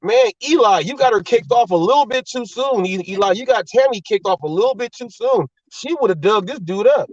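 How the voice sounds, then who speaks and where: A man's voice: high voice, lively intonation, brisk, few pauses, darkish tone, no room sound, distant mic, dull audio. A man hosting a talk show, blunt and heated, swearing and repeating himself as he argues. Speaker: host.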